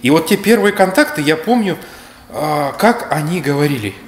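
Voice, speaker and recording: A man in his thirties from Kalmykia, speaking as a history speaker in a measured, lecturing way, seated in a bright indoor room. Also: on the high side, expressive, a little hiss or hum. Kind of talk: reflective explanatory talk. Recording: roomy echo, faint music.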